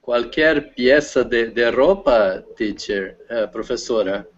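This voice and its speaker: A man's voice: mid-range voice, takes it slowly. A man from Brazil, a native Portuguese speaker with a Brazilian accent, speaking hesitantly as a student and searching for words. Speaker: language student. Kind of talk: casual chat. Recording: dull lo-fi video call audio.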